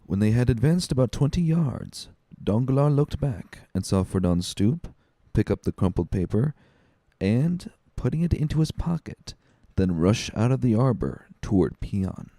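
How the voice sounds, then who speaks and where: Male audiobook narrator, dark warm tone, lively intonation, dry recording. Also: mid-range voice, unhurried, no room sound.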